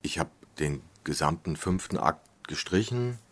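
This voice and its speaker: A man's voice: extremely low pitch, lively intonation, measured pace, heavy background noise. A man explaining his artistic decisions in reflective, unscripted talk.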